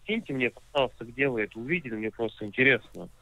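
A man's voice: mid-range voice, lively intonation, quick pace, bright, forceful tone, no room sound. A man working as a car dealer, asking insistent questions in a phone conversation over a narrowband line.

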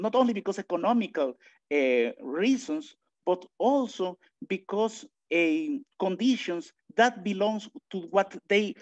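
A man from Mexico with a Mexican accent, giving a philosophy lecture online, thinking aloud with many restarts and lively asides. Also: high voice. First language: Spanish. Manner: thinking aloud, frequent restarts and filler sounds, animated asides. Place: home room, indoors. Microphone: video call webcam. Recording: dull lo-fi video call audio.

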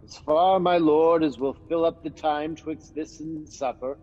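A man acting a wary, loyal nobleman in a radio play, brooding and earnest, with a fairly high voice. The sound is dull and muffled, with a long reverb and faint hiss. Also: fairly even intonation, unhurried, warm tone, husky. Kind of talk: amateur radio drama acting.

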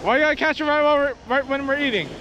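A man chatting casually as a vlog host outdoors, excitable and exclamatory, often repeating himself. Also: very high voice, very fast, dull audio. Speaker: vlog host filming a fishing trip. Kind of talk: casual chat. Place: open ocean beach, outdoors. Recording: loud surf and wind noise. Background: waves and outdoor ambience.

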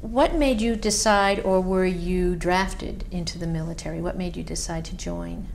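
A woman interviewing a veteran for an oral history project, asking polite, measured questions. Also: on the low side, steady tone, vocal fry, slight background noise. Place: library room.

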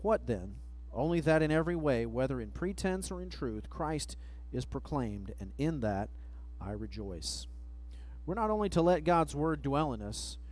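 A middle-aged man preaching a sermon at a church pulpit mic, reading scripture slowly and letting phrase ends trail off. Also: mid-range voice, very animated, darkish tone. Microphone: pulpit microphone, far camera.

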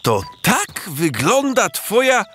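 An actor playing a jovial, teasing village neighbour in a rhymed children's radio play, with playful theatrical exclamations. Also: male voice, high voice, wildly swooping pitch, slow, music in the background, full character voice. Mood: curious, needling. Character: teasing, jovial village neighbour man urging a bachelor to marry.

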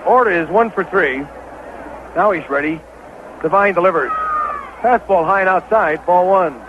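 A high-voiced American man calls a baseball game in 1970s radio style. He is an excitable, openly partisan play-by-play announcer. The old broadcast recording sounds dull, with clear ballpark noise behind him. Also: moderate pace. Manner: excitable, partisan home-team caller. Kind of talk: 1970s live radio sports commentary.

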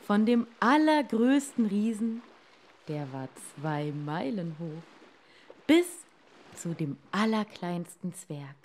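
A woman reading a bedtime fairy tale as a dramatic storyteller, sometimes hushed and deliberate. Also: mid-range voice, very animated, a little hiss or hum.

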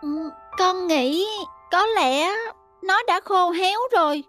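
Cartoon schoolboy, young and gentle, very high childlike voice, plaintive, self-pitying, music underneath. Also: female voice, slow pace. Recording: music behind the voice.

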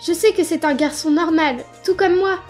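A woman voicing a gentle, hopeful young boy in a comic dub, speaking earnestly and pleadingly. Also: very high voice, normal speed, faint music behind. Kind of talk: animated comic dub acting.

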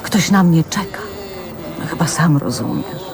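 An actress playing a glamorous, flirtatious 1940s film diva in a radio drama, teasing and coquettish. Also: female voice, sits low, expressive, measured pace, a crowd in the background. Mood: coy.

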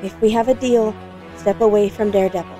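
A woman's voice: mid-range voice, fairly even intonation, fairly quick, warm tone, lightly acted. A woman playing a desperate, resolute young woman in a comic dub, pleading urgently and firmly. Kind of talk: comic book dub voice acting. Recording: music behind.

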